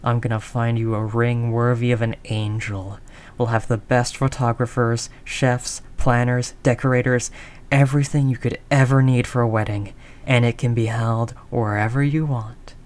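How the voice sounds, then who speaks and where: A woman playing a tough, doting mafia heiress girlfriend in an intimate audio roleplay, low-voiced, talking in affectionate slangy tough talk. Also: flat delivery, normal speed, a little mellow. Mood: devoted, earnest.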